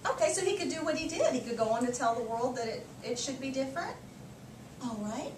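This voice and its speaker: Lively, high-voiced woman teacher in echoey room. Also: a little fast. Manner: repeats and affirms listeners' answers. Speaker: teacher reading aloud to children. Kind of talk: storybook read-aloud and class discussion. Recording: echoey, noisy room, distant microphone.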